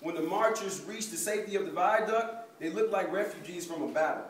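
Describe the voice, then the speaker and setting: A formal reporter gives a factual account read by a young man on stage. His voice is high for a man and comes from a distance in an echoey room. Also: a little fast, slight background noise, close to an everyday voice. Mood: grave reporting. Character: formal reporter recounting a battered march. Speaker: student narrator. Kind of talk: formal factual report within dramatic reading.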